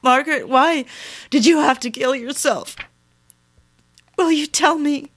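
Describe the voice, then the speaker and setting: A woman's voice: sits high, unhurried, fairly bright tone. An actress playing a lonely, emotional young woman, narrating and talking to herself in a theatrical audio drama style. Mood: tearful, overwrought. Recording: clearly noisy recording with clicks.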